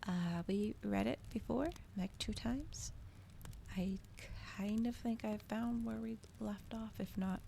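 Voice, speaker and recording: A woman with a soft, gentle voice that often slips toward a whisper, chatting casually and a little hesitantly to viewers as an ASMR livestream host. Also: mid-range voice, takes it slowly, gravelly. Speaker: streamer hosting a live reading. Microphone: regular microphone, not ASMR-specific. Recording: audible background noise, fireplace ambience. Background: crackling fireplace ambience.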